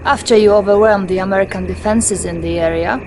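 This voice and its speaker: A woman's voice: mid-range voice, steady tone, a little fast, noisy background, close to an everyday voice. Formal Soviet briefing officer acted by a woman. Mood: composed, businesslike. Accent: Russian. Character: composed, dutiful female Soviet intelligence officer. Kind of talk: video game cutscene acting.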